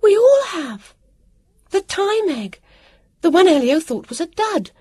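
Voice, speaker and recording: A woman voicing a spirited, sharp-tongued, excitable young girl with urgent delivery in a storybook reading. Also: fairly quick, breathy edge. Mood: excited relief. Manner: high, urgent, emphatic.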